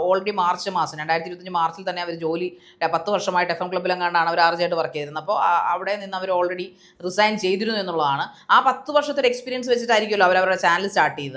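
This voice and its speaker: A woman's voice: mid-range voice, a bit bright. A woman giving conversational, emphatic commentary as a discussion panelist, mixing in English words.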